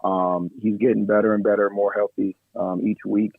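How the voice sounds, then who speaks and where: A man, an assistant basketball coach, answering radio interview questions in a measured way with many fillers, his voice hoarse from yelling at practice. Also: on the low side, flat delivery, no echo. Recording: tinny phone line.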